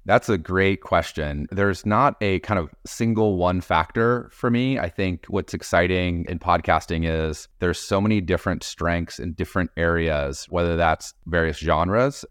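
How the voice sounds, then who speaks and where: Male interview guest, middle-aged executive type, very low register, smooth tone, measured explanations. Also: no room sound, studio quality. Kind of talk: conversational interview answers.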